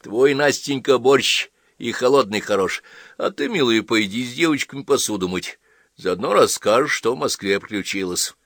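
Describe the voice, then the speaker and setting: A male narrator acts out an elderly village priest from a storybook. He is folksy and gruff yet affectionate, moving widely in pitch, with a dry, close sound. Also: lively intonation, normal speed, a bit bright, no echo. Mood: homely. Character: cheerful old village priest, blunt gentle holy fool.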